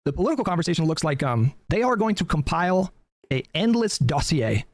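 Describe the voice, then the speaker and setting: A man's voice: a little high, big pitch swings, a little fast, no room sound. A man giving earnest political commentary with many fillers, worn out and losing his voice after talking all day.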